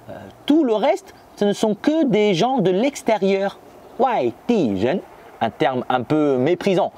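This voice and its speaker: A native Chinese speaker from Shanghai narrates a scripted lesson, his fairly high voice rising and falling a lot. He stops now and then to say a Chinese term slowly and clearly. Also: a little mellow. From Shanghai, China. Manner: reads French lines, then says Chinese terms slowly. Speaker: language teacher.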